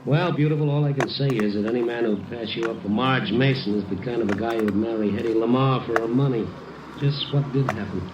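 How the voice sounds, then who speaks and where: Actor playing gallant, quipping male lead, vintage radio drama; medium pitch, hissy roomy recording. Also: a little fast, close to an everyday voice. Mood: wry, curious. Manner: easygoing, flirtatious, quipping. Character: wisecracking, gallant man comforting a woman in trouble. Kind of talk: old-time radio drama acting. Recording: noisy, distant, roomy old radio recording.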